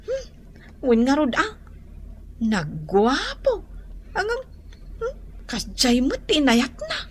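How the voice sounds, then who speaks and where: A woman playing a bossy, teasing younger sister in an Ilocano radio comedy drama, with exaggerated, drawn-out delivery. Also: sits high, huge pitch swings, full character voice. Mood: teasing, gossipy.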